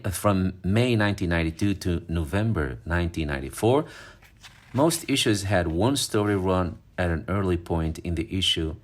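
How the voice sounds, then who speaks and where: A man from Morocco, talking casually and fondly as he leafs through an old magazine, rambling with fillers and restarts. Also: low voice. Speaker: vlogger. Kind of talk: casual show-and-tell vlog.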